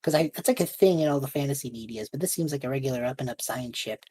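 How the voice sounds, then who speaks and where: A woman's voice: extremely low pitch, fast talker, mellow, husky. A woman improvising casual tabletop roleplay in a rambling, hesitant, goofy manner. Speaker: tabletop player.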